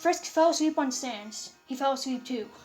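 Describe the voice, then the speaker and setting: Woman narrator, high-pitched, amateur story reading, stumbling delivery. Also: moderate pace, faint music behind. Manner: stumbling, repeating words as she reads. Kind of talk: amateur fan fiction read-aloud.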